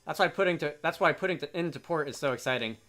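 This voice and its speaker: A man voicing a plucky young sailor from a game in a hearty, animated way. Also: on the high side, fast talker, close to an everyday voice. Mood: reminiscing. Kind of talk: reading game dialogue aloud. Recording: game music behind.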